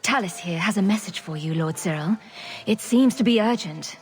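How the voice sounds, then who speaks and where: A woman's voice: mid-range voice, moderate pace, faint music behind, close to an everyday voice. An actress playing a confident female adventurer in a video game, with a dry, teasing, sardonic manner. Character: confident, dryly witty female champion adventurer.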